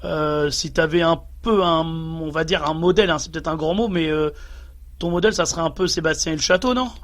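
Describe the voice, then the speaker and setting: A man hosting a casual radio interview, asking questions in an informal, halting way. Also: fairly high pitch, fairly bright tone.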